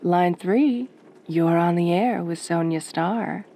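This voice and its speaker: A woman playing a sultry, sardonic late-night radio DJ in a soft, velvety, teasing voice. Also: on the low side, slow pace. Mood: wry, teasing. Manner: flirtatious, teasing, wry. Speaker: radio host character.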